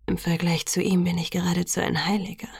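A patient, soothing young lover in an audiobook, played by a woman. He speaks in a soft murmur, breathy and gravelly at the edges. The sound is close and dry. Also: lowish voice, little pitch movement, fast, no echo, heavily acted. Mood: gentle, wry. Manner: hushed, murmured, softly spoken. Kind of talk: audiobook dialogue.

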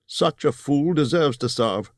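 A man's voice: mid-range voice, animated, measured pace, dry recording, clean studio sound, pitched above the performer's own voice. A man voicing a grim, suspicious human leader who speaks bluntly.

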